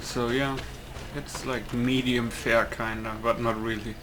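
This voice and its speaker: A man doing casual video game commentary as a streamer. He talks in an offhand, rambling way at a medium pitch. Clear background noise and game ambience sit behind his voice. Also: flat delivery, a little slow. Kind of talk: casual let's-play commentary.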